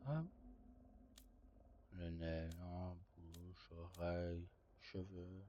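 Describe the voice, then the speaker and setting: A man's voice: sits low, flat delivery, extremely slow. A man giving casual live game commentary, muttering short remarks to himself as a streamer. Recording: muffled audio with faint background noise.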